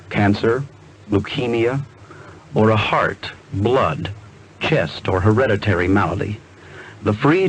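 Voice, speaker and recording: An American man reading a scripted public service appeal on 1970s AM radio. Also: on the low side. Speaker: charity appeal announcer. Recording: noisy 1970s AM radio aircheck.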